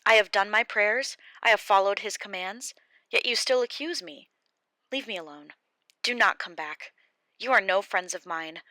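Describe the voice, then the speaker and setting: A woman's voice: fairly low pitch, expressive, moderate pace, bright, forceful tone, dry recording. A woman voicing Job, an anguished, defiant old man, in an impassioned, raised pleading delivery for an audiobook. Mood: impassioned defiance. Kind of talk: audiobook character reading.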